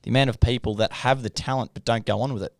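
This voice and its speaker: A man's voice: mid-range voice, steady tone, fast, darkish tone, dry recording, clean studio sound. An Australian man hosting a podcast, chatting in a blokey, informal and slangy way. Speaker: podcast host. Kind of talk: casual, slangy podcast chat.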